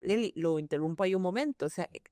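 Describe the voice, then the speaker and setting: A woman hosting a formal broadcast interview, speaking in a measured way. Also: on the low side, lively intonation, mellow, no echo, studio quality. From Costa Rica.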